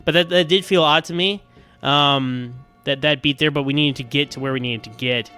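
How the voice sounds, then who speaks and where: A man's voice: a little high, normal speed, pushed and bright, faint music behind. A man giving casual, rambling episode commentary as a podcast host, often stammering over repeated words.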